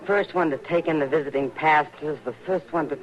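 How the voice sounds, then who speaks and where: A warm-hearted frontier woman played by an actress in an old radio western. She is earnest, practical and gently insistent, speaking haltingly on a dull, lo-fi recording. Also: low voice, close to an everyday voice. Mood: saddened, disbelieving.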